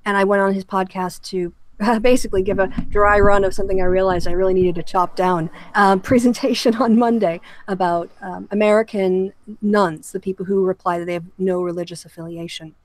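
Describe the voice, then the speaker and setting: A woman's voice: medium pitch, steady tone, brisk, few pauses, rough recording. A woman hosting a casual podcast discussion, speaking articulately and explaining her points with asides. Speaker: show host; political scientist.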